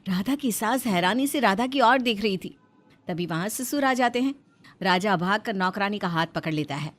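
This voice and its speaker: A woman's voice: medium pitch, expressive, moderate pace. A woman reading scripted narration for a story as its narrator.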